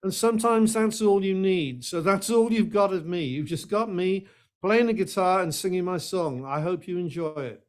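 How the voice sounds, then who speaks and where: A man's voice: sits high, moderate pace. An older man of about seventy with a Welsh accent, introducing himself conversationally as an interview guest. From Wales. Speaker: guest, singer-songwriter and activist.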